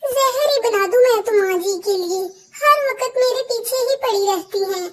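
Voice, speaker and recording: A woman's voice: very high-pitched, normal speed. A woman voicing a young female sparrow daughter-in-law in a cartoon, with a childlike voice. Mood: grumbling, resentful. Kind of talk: Hindi cartoon voice acting.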